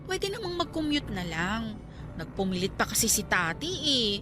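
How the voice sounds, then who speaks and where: A woman's voice: on the high side, very animated, moderate pace, music in the background. A woman playing a guarded, skeptical young single teacher in a Tagalog radio drama, talking in a conversational way. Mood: bashful, polite.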